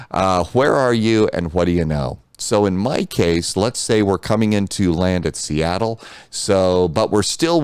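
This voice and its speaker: A man's voice: low-pitched, a little mellow, clear, resonant tone, no room sound. An American man hosting a casual, off-the-cuff flight simulator tutorial in a chatty, folksy, reassuring way. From United States.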